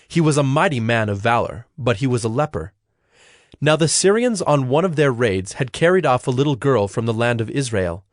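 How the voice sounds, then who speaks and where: A man reading scripted Bible narration in a measured, solemn manner. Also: mid-range voice, dry recording, studio quality.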